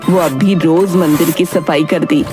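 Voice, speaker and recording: A woman's voice: low voice, fairly even intonation, a little slow. A woman narrating a scripted children's moral story as a storyteller. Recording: music behind narration.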